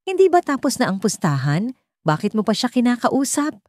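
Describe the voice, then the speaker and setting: Woman as Mia, scornful high-pitched young woman. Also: very animated, normal speed, no room sound. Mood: impatient. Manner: mocking, sharp-tongued. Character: scornful, impatient young woman exposing a bet.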